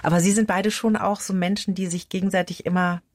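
A woman's voice: medium pitch, little pitch movement, a little fast, no echo. Friendly woman interviewer. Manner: friendly, affirming, lots of back-channel. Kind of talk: interview host.

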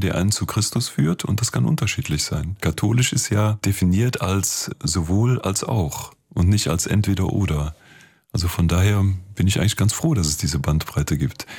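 A man's voice: sits low, a little mellow, breathy edge. A man, a clergyman, explaining things in an interview in a plain-spoken, colloquial way.

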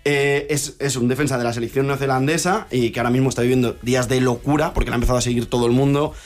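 A man co-hosting a show, telling stories in a chatty, animated manner. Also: mid-range voice, very fast. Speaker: radio show co-host. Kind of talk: casual chat.

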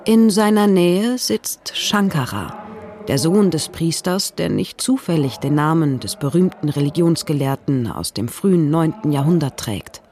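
A narrator reading a scripted documentary text in an even, informative way. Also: mid-range voice, measured pace.

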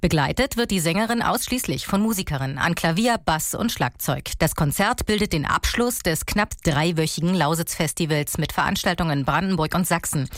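A woman reporter giving a calm, informative radio report. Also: fairly low pitch, fairly quick, hardly any pauses, no room sound, clean studio sound. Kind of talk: culture news report.

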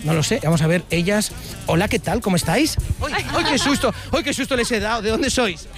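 Male radio reporter on the street, high voice, playful banter, faint music behind. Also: animated. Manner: jokey, chatty, quick asides. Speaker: street interviewer. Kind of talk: radio vox pop on the street. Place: outdoors on a city street.

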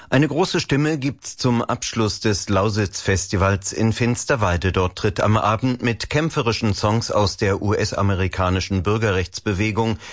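A male newsreader delivers a scripted bulletin in a fairly low voice. The sound is very dry and close, as from a studio microphone. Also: no echo. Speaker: radio newsreader.

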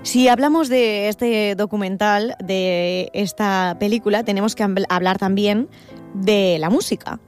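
Female interviewer, mid-pitched, measured, careful question setups, soft music under. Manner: measured, sets up questions with context and lists. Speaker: radio interviewer. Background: faint music.

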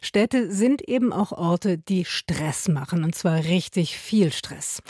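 A woman from Berlin hosting a morning radio show, introducing her guest and asking lively questions. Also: lowish voice, slightly rough, dry recording, clean studio sound. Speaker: radio host.

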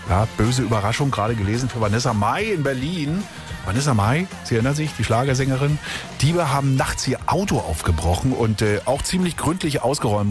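A man presenting on the radio in a chatty, wry and playful way. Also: mid-range voice. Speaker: radio host. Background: music bed.

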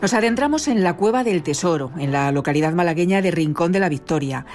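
A woman's voice: on the low side, lively intonation, quick pace, darkish tone. Woman presenting a travel program. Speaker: program host. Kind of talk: scripted presenting.

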